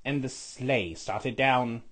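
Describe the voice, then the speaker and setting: A man voicing a scheming skeletal villain lord who reads a storybook aloud with a raspy, sniffly voice, stretching out repeated words. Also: mid-range voice, fairly bright tone. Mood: gloating. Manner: sing-song storybook reading, drawn-out repeated words.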